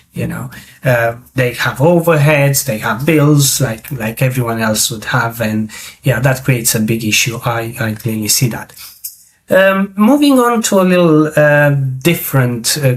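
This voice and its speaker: A man from Romania who speaks English, hosting a business interview. He asks his questions hesitantly, with frequent fillers and restarted phrases. His pitch is in a plain middle range. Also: unhurried. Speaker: podcast host.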